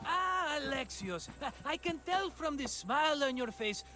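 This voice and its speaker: An actor playing a wheedling, scheming debtor in a video game, nervous and theatrical. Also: male voice, very high-pitched, sing-song, normal speed, pushed and bright, faint music behind, exaggerated voice. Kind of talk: animated video game acting.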